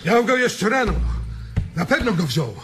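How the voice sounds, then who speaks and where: An actor playing an angry, excitable ranch hand in a radio drama. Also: male voice, sits high, unhurried, over music. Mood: angry, agitated.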